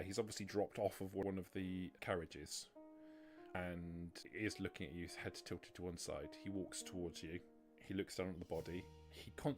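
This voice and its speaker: A man narrating a tabletop roleplaying game as game master. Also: low-pitched. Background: music.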